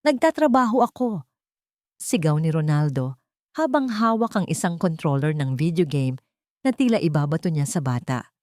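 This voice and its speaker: A woman's voice: sits low, lively intonation, normal speed, a little mellow, dry recording, clean studio sound. A woman with a Filipino accent reading a dramatic first-person story as an audiobook narrator.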